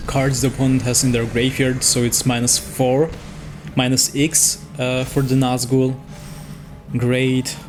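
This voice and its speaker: Man talking through gameplay over clear music. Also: medium pitch. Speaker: host playing and commenting on a digital card game. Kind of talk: casual gameplay commentary, thinking aloud.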